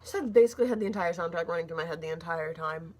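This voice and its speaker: A young woman reviewing a book in a casual, rambling vlog, her throat a little scratchy and gravelly, with lots of fillers and restarts. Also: on the low side, very fast, darkish tone. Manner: rambling, says like a lot, restarts often.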